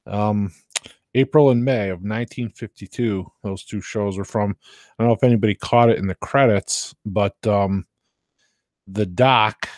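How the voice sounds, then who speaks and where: Low-voiced American man chatting casually. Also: a little mellow, dry recording, clean studio sound. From United States. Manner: hesitant, informal. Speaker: livestream host. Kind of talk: casual rambling chat with listeners.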